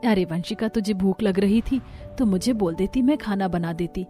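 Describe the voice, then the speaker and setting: A woman's voice: mid-range voice, moderate pace, mellow, faint music behind, lightly acted. A woman voicing a meek, hurt, poor elderly mother in a cartoon story, pleading and plaintive. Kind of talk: Hindi cartoon story dialogue.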